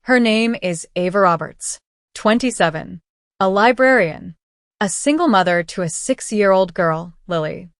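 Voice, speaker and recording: A woman voicing a cold, menacing male mafia boss in an audio story, flat and clipped. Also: mid-range voice, unhurried, clean tone, no room sound, studio quality. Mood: calm, businesslike.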